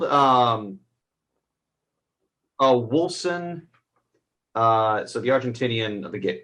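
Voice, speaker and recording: A man's voice: medium pitch, fairly bright tone. A man chatting casually as co-host of a film review, rambling as he retells scenes.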